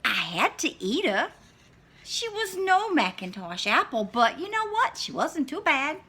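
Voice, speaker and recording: Frau spielt einen hungrigen, listigen Wolf, lebhaft schwankende Stimme. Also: female voice, sits high, sing-song, measured pace, pushed and bright, voice pushed up, full character voice. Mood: wry, hungry. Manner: dramatic, scheming, wry. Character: hungry sly male wolf telling his own tale. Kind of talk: storybook read-aloud in character.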